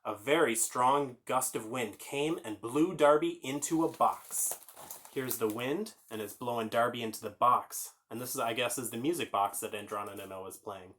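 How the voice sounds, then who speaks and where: A man's voice: mid-range voice, normal speed, a bit bright. A man hosting a children's story time, reading aloud and chatting informally, explaining things and putting questions to the listeners.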